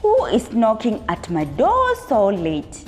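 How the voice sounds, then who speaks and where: A woman storyteller voicing a stern, commanding king who speaks in a measured, imperious way. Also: fairly high pitch, wildly swooping pitch, a little mellow, full character voice. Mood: angry. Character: stern commanding male king, teasing and angry. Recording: long hall reverb, music behind.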